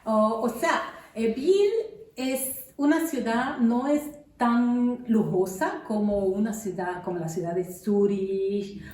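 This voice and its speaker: A middle-aged woman from the Dominican Republic, a nurse, answering interview questions calmly with frequent hesitations. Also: fairly high pitch, echoey room, distant mic. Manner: unhurried, many hesitations. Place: her own home.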